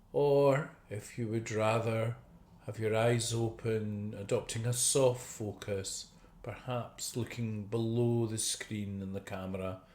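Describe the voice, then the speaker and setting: A man with a fairly low voice leads a guided meditation. He speaks very slowly and gently, leaving long pauses between phrases. The recording is roomy and echoey, like a video call made indoors. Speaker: meditation teacher. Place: indoor room.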